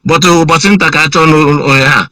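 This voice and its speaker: A man from northern Nigeria with a Nigerian accent speaks in a high, bright voice. He talks haltingly, full of fillers and restarts. The sound is dry, with no room around it. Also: steady tone, no room sound. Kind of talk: heated call-in debate. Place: lives in Germany and Nigeria.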